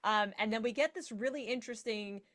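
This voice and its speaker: A woman's voice: a little high, a little fast, a bit bright. A woman speaking as a guest in a casual panel discussion, reflective and conversational, peppering her points with "like".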